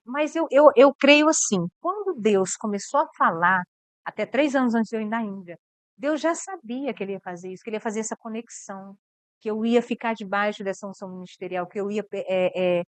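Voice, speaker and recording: Brazilian woman missionary, earnest interview guest, clear higher voice, dry sound. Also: normal speed, no room sound. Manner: earnest, repeats words as she thinks. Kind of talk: interview about mission work.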